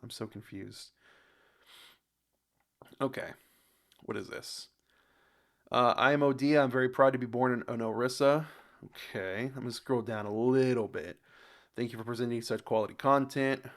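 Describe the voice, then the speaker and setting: A man with an American accent hosting a reaction video in casual commentary, a bit halting and stumbling over words as he reads aloud. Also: mid-range voice. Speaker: host of a reaction channel.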